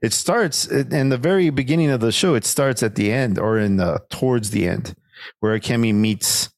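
A man's voice: medium pitch, normal speed, dry recording. A Mexican-man, co-hosting a casual show review, rambling and laughing often. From Mexican-American, United States. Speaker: podcast co-host.

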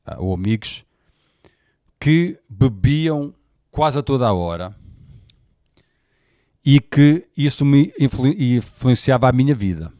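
A man's voice: mid-range voice, animated, slow, long pauses, mellow, dry recording, clean studio sound. Candid Portuguese man in his forties. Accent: European Portuguese. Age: 40s. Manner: candid, colloquial, frequent fillers like "pá". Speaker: podcast host. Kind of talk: personal monologue podcast.

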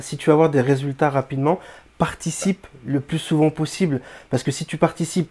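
Mellow-voiced encouraging teacher. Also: male voice, fairly high pitch. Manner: encouraging, explanatory. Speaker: bass teacher, host. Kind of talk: interviewing a student.

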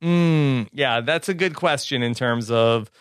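A man's voice: medium pitch, unhurried, clear, resonant tone, dry recording, studio quality. A man hosting a casual recap podcast, chatting and passing questions to his co-hosts by name. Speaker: podcast host.

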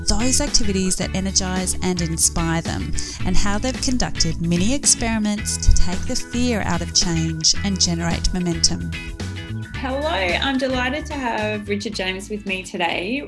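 Woman hosting a podcast, music underneath. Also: mid-range voice. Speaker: podcast host.

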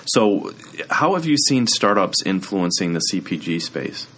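A man's voice: low-pitched, very animated, unhurried, a bit bright. A man hosting a business podcast, asking questions with brief, upbeat acknowledgments. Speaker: podcast host.

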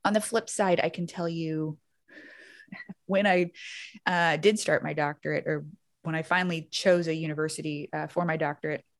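A woman with a lowish voice chats easily as a podcast guest. She grew up between Louisiana and the Ozarks and tells her story in a loose, chatty way full of fillers. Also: fairly even intonation, normal speed. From Louisiana, raised in Missouri Ozarks. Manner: chatty, uses many "like" fillers and laughs. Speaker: guest, doctor. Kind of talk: casual podcast conversation.

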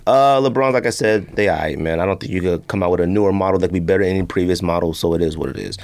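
A man's voice: low-pitched, rapid-fire, darkish tone. A man hosting a sneaker podcast in casual, unscripted chat, with a slangy, conversational way of talking.

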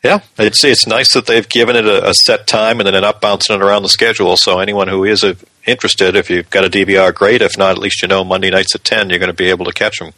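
Bright, forceful low-voiced male podcast co-host. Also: steady tone, fast talker, hardly any pauses, no echo, rough recording. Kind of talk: casual news chat.

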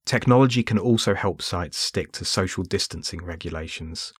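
A man reading scripted documentary narration in a measured way. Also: low-pitched, slightly rough, no echo, studio quality.

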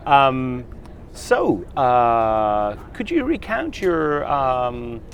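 A man's voice: medium pitch, sing-song, drawn-out words, a little hiss or hum. A man hosting a podcast interview at a conference, hesitating with many fillers when asking questions. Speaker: podcast host. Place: conference venue. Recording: music playing behind.

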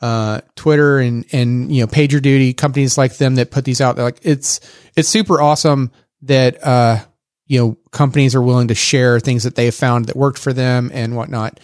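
A man's voice: mid-range voice, steady tone, moderate pace, a little mellow, no room sound, clean studio sound. A man with a Texas accent, co-hosting a tech podcast in a rambling, casual way with many fillers and restarts. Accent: Texan. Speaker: podcast co-host.

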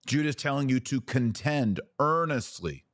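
A man's voice: mid-range voice, animated, a little slow, fairly bright tone, no room sound, studio quality. A man hosting a podcast, giving opinionated religious commentary in a preaching style, emphatic, with rhetorical questions and sarcastic asides.

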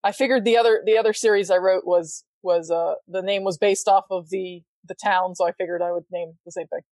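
A woman's voice: on the low side, steady tone, fairly quick, darkish tone, no room sound. A woman guesting on a podcast, telling stories in a chatty, laughing way. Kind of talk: casual chat.

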